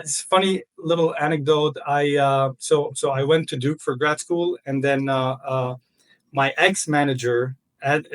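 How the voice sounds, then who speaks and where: A young man from Lebanon joins the podcast over a video call. He talks at an ordinary middle pitch, searching for his words with frequent fillers and restarts. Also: a bit bright, poor audio. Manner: hesitant, many fillers and restarts. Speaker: podcast guest. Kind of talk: casual interview.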